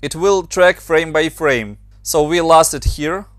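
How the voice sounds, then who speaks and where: Ukrainian-accented male tutor, a bit higher voice, very dry close mic, patient step-by-step walkthrough. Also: unhurried, no echo. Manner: plain, step-by-step instructions with slightly non-native grammar. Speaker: motion designer and tutorial maker. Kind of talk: screen-recorded software tutorial.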